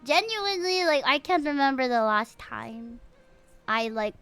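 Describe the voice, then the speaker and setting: A woman's voice: high voice, lively intonation, measured pace, fairly bright tone, smooth, faint music behind. A young woman livestreamer from the Philippines talking casually and candidly to her viewers, in an informal way. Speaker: livestreamer playing a video game.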